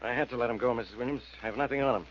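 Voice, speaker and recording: An actor playing a gruff, exasperated police inspector in 1940s radio style, blunt and commanding. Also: male voice, mid-range voice, racing, crowd noise, close to an everyday voice. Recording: dull old radio recording.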